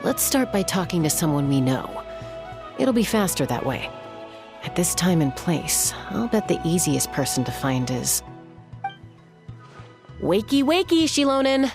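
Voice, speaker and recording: Confident, low-voiced young gunslinger woman. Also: very animated, a little slow, long pauses, over music. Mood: confident, teasing. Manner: direct, self-assured. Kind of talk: video game voice acting.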